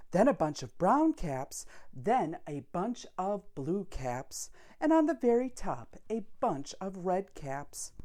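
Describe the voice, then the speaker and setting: A woman reading a picture book aloud for children, expressive and deliberate, with dramatic pauses. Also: medium pitch. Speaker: storyteller.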